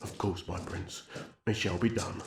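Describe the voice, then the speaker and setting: A man's voice: low voice, fairly even intonation, unhurried, creaky. A man playing a polite, proud elf royal servant and tailor in a fantasy ASMR roleplay, courteous and assured. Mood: deferential. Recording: echoey room, noticeable background noise.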